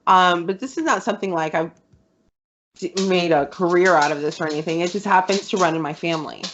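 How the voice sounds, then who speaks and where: Chatty low-voiced woman, lo-fi. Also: a little fast, a bit bright, slight background noise. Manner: chatty storyteller, laughs often. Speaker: podcast host. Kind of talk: casual conversation.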